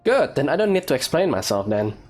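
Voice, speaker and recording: A woman's voice: extremely low pitch, big pitch swings, brisk. A woman hosting a gaming let's-play, giving casual, playful commentary as she reacts aloud to the game. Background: game music.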